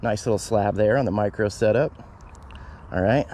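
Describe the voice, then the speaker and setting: A man vlogging while fishing outdoors by a canal, talking casually and breaking into excited exclamations. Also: lowish voice, normal speed, slight background noise. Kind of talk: casual fishing vlog narration.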